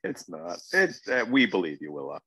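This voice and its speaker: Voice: low-pitched, huge pitch swings, moderate pace, fairly bright tone, husky, no room sound. A podcast co-host giving casual, emphatic commentary on a TV episode, repeating phrases to make a point.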